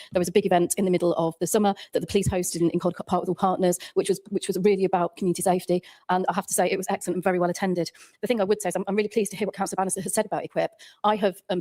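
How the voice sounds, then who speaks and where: A woman councillor speaking warmly in a council meeting about the work of local services. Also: lowish voice. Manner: enthusiastic, full of praise. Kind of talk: formal speech in a council meeting.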